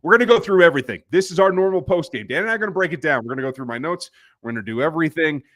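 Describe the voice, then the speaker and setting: A man's voice: a little high, animated, racing, fairly bright tone. Fired-up man ranting about football. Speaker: podcast host. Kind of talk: post-game sports reaction show.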